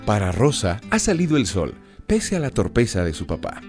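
A man's voice: on the low side, wildly swooping pitch, normal speed, darkish tone, soft background music. A man narrating a radio serial in a dramatic, scripted storytelling style. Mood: dramatic, sympathetic.

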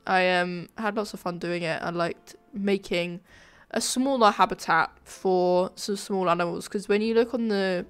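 A woman giving casual, informal commentary over a game building video, sounding nasal and a bit flat from a sore throat. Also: on the low side, unhurried, hardly any pauses, smooth, soft background music. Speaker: gaming video creator, narrator.

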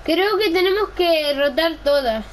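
A woman's voice: very high voice, expressive, measured pace. A woman talking casually to a teammate during a video game. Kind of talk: casual gaming chat with a teammate. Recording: slightly noisy game voice chat.

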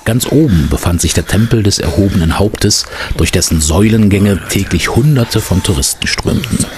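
A man narrating an adventure radio play in a measured storybook manner. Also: deep voice, lively intonation, noisy background. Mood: measured storytelling. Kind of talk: scripted audio drama narration.

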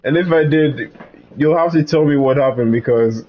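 A man's voice: fairly high pitch, normal speed, muffled recording. A man giving casual let's-play commentary, chatting and laughing with someone off-mic. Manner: chatty, laughs and talks aside to someone in the room. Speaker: gaming streamer.

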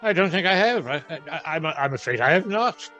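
An actor playing an anxious, humbled old miser in a radio drama. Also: male voice, fairly high pitch, lively intonation, normal speed, pushed and bright, dull audio, faint music behind. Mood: humbled, hesitant. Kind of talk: radio play acting.

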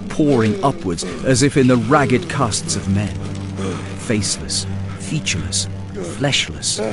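Lowish male audiobook narrator, grave dramatic fantasy reading, music clearly behind him.

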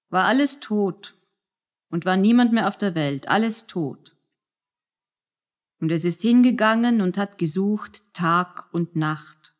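A woman playing a grim grandmother who tells a bleak fairy tale in a radio play, in a plain, sorrowful manner. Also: on the low side. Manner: measured, plain storytelling.

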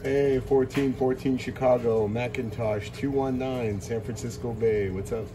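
A man's voice: mid-range voice, steady tone, unhurried. A man working as a CB radio operator, chatting casually in short radio calls. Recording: clear noise, clicks, beside transceiver.

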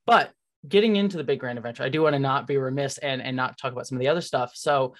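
An interview host talking casually and enthusiastically as a fan, in a rambling, halting way on a video call. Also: mid-range voice. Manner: rambling, halting, filler-heavy. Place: indoors, remote video call.

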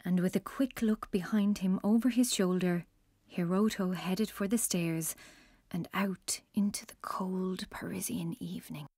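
An actress as the narrator, reading scripted story narration in a children's audio drama. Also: female voice, on the low side, fairly even intonation, measured pace, dark tone, dry recording, studio quality. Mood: storytelling. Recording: faint crowd behind the narration.

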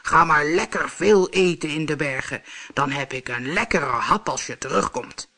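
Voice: mid-range voice, normal speed, bright, forceful tone. A reader voicing a greedy, one-eyed green monster under a bridge who growls and roars orders in a storybook tale. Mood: threatening. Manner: growling, roaring, bossy.

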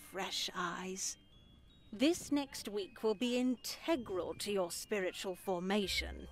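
A woman playing an elderly nun in a game, speaking formally and deliberately with a gently controlling manner. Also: mid-range voice, natural voice. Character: stern, composed elderly nun in charge of a convent. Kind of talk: visual novel voice acting.

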